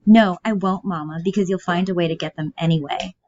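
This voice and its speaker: A woman's voice: fairly low pitch, fairly even intonation, brisk, a little mellow, lightly acted. A woman voicing an anxious, childlike, pleading grown woman in a stage play. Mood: pleading, defiant. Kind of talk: reading a stage play aloud. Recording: dull, muffled lo-fi audio.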